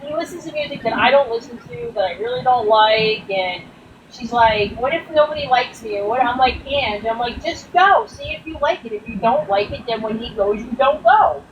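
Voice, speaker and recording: A woman's voice: on the high side, normal speed, dull audio. A woman in her fifties, chatting casually on a live stream, rambling in long run-on stretches and sounding tired. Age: 50s. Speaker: grieving mother, live guest. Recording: noisy audio from off the mic.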